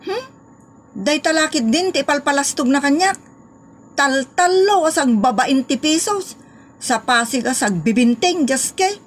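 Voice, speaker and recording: A woman's voice: high-pitched, lively intonation, measured pace, a little hiss or hum. Woman acting nagging Ilocano wife, exclamatory. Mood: indignant. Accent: Ilocano Filipino. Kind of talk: Ilocano comedy audio drama acting.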